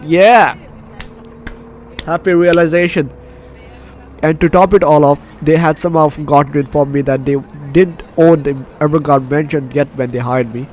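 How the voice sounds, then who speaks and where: A man's voice: fairly high pitch, a little mellow, a little hiss or hum. A man hosting a casual let's play, reading game text aloud with commentary and often stumbling over and repeating phrases. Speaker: gaming video host.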